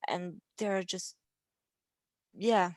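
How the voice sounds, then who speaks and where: A woman's voice: on the low side, drawn-out words, a little mellow, dry recording, studio quality. A Hungarian woman in her thirties, a guest in a casual interview, talking in a friendly way with frequent ums and light laughs. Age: 30s.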